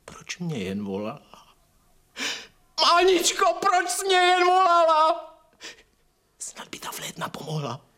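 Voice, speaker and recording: A man's voice: very high-pitched, huge pitch swings, long pauses, sharp tone, full character voice. Traumatized man, wildly swinging voice. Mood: dazed horror. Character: traumatized man, deranged by horror, pleading pitifully. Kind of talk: radio drama acting.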